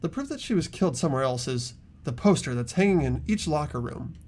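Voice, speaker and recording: A man's voice: on the high side, lively intonation, fairly quick, darkish tone, a little hiss or hum, close to an everyday voice. A man playing an earnest teenage boy who reasons aloud tentatively in an amateur game dub. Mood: earnest, thoughtful.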